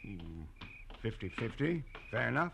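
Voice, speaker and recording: An actor playing a scheming, smug private detective with an American accent in a 1940s radio crime drama, slangy and needling. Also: male voice, medium pitch, big pitch swings, a little slow, creaky. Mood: businesslike. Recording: noisy old radio drama recording.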